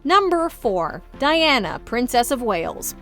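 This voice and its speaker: A woman's voice: fairly high pitch, sing-song, unhurried, fairly bright tone, soft background music. A woman reading scripted narration as the narrator of a countdown video.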